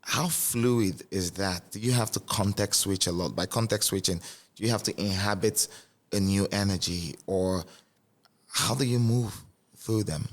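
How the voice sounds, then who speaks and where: A man hosts a relaxed interview, his voice very low. He asks probing, reflective questions and restarts phrases as he searches for the right words. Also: measured pace. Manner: thoughtful, probing, stumbles over wording. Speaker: podcast host.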